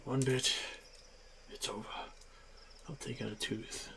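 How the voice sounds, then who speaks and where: Orc warrior , male performer: confident hunter, slow solemn delivery with gravel, fantasy roleplay audio over outdoor night ambience. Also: medium pitch, fairly even intonation, sharp tone, slight background noise. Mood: alert, resolute. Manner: measured, halting, terse phrases with solemn pauses.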